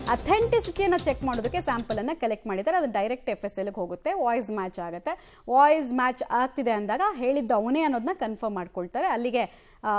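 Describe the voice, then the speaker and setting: A woman with a Karnataka accent anchoring live news, speaking emphatically and putting questions to reporters. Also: fairly high pitch.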